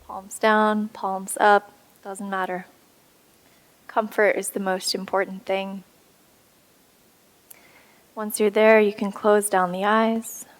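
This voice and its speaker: Woman, meditation instructor, flat even intonation, soothing, unhurried, pausing between phrases. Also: medium pitch, low-quality recording. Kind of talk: guided meditation.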